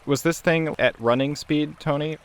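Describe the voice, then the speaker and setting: A man's voice: on the high side, normal speed. A man playing a tabletop roleplaying game, casually talking through what his character does.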